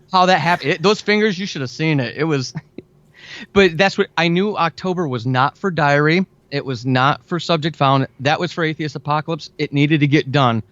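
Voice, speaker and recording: A man's voice: a little high, fairly bright tone, no echo. Enthusiastic man, dull dry recording. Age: 40s. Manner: enthusiastic, earnest. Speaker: guest, fiction and audio drama writer. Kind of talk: casual conversational interview. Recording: dull, lo-fi band-limited audio.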